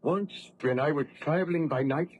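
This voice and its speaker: Haunted, theatrical baron, played by a man, high-pitched voice. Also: moderate pace, a little mellow, dull audio, lightly acted. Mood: grave, driven. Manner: theatrical, formal, fervent storytelling. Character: haunted, exhausted, vengeful male baron recounting his hunt. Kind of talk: 1940s radio drama acting. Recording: noisy old radio drama recording.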